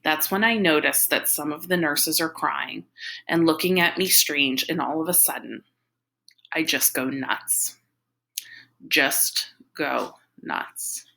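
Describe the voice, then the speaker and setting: A big, troubled teenage boy tells his story in raw slang read aloud by a woman. The voice is creaky and forceful, like a storybook narrator who is defiant to the core. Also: sits low, measured pace, full character voice. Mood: shaken. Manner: slangy, run-on first-person telling. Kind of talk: audiobook-like novel reading.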